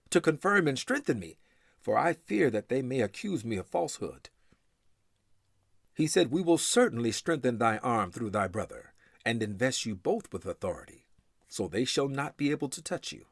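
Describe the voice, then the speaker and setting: A man reading scripture aloud in a solemn, formal and measured recitation style. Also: mid-range voice, a bit bright, dry recording, studio quality. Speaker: scripture reader.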